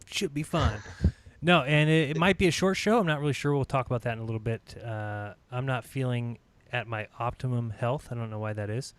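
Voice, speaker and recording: A man's voice: medium pitch, a little fast, clean tone. Chatty man hosting a live panel. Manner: upbeat, chatty, laughs often. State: feels like losing his voice. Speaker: host. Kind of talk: live online panel chat.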